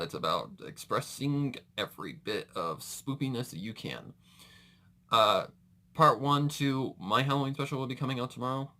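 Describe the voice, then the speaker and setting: A man's voice: medium pitch, pushed and bright. A man giving casual reaction commentary as a video host, rambling and correcting himself as he goes, with mild slangy exclamations.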